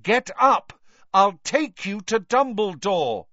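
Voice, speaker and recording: Man as a worried teenage schoolboy wizard, earnest, high pitch, animated swings, audiobook character, close dry sound. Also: normal speed, no echo, highs cut off. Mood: alarmed, urgent.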